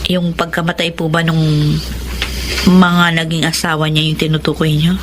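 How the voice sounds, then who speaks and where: Expressive middle-aged Filipino mother, played by an actress. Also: sits low, moderate pace, background noise, close to an everyday voice. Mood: polite. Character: Edith, polite, devoted middle-aged single mother. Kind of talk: Filipino radio drama acting.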